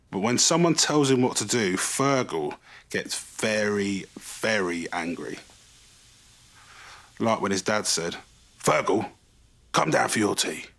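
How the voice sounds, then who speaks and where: A man reading a children's bedtime story in an expressive, animated way, stretching key words for emphasis. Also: fairly low pitch.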